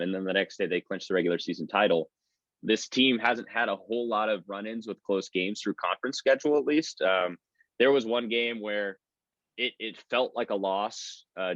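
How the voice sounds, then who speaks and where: A low-voiced man talks sports in a conversational, discursive way, like an announcer being interviewed. The sound is a bit dull and lo-fi. He goes on at length, rambling from point to point. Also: a bit bright, dry recording. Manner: talkative, discursive.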